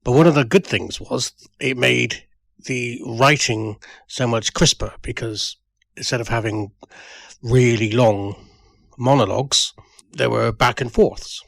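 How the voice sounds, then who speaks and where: A man's voice: mid-range voice, a little slow, creaky, fairly bright tone, no room sound. A man reminiscing in a spoken tribute, hesitant and thoughtful, with a wry touch. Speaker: writer and producer, guest.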